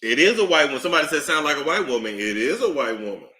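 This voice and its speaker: A man giving casual live-stream commentary, emphatic and animated, repeating phrases for effect. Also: fairly high pitch, rapid-fire. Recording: roomy echo.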